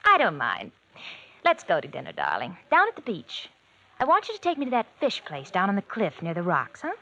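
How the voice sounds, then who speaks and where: An actress playing a cold, teasing wife with murder in mind, coaxing and mocking in old-time radio mystery style. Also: female voice, lowish voice, wildly swooping pitch, brisk, sharp tone, lo-fi sound, exaggerated voice. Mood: coaxing, insistent. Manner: mocking, coaxing, calls husband dear and darling. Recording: vintage radio drama with background noise.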